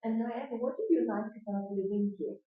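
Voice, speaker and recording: A woman's voice: fairly high pitch, normal speed, slight background noise. Distant woman examiner in an echoey room. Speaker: mock examiner. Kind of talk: reading exam prompts. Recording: distant, very echoey, dull sound.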